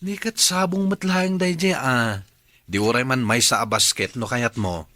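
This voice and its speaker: An actor playing a practical, thrifty boyfriend in a radio drama, with an Ilocano Filipino accent. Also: male voice, medium pitch, a little slow, bright, forceful tone, no echo. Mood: exasperated. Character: practical, thrifty boyfriend, patient but exasperated.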